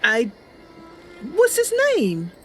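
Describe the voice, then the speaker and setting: A woman's voice: on the high side, wildly swooping pitch, drawn-out words, husky, soft background music. A bubbly, chatty woman livestreamer talking casually and improvising roleplay as she plays a game. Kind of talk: casual live stream chat with improvised roleplay.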